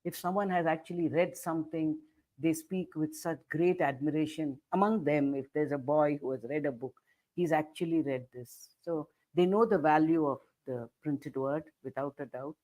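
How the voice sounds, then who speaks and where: A woman's voice: sits low, warm tone, rough recording. Blunt older woman author on a call. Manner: blunt, measured, thinks aloud. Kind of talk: online book conversation. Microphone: video call.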